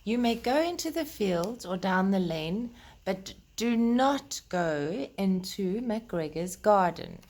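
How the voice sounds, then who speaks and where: A woman voicing a firm, cautioning mother rabbit in a storybook, speaking deliberately. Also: mid-range voice, expressive, darkish tone. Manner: slow, deliberate storybook delivery.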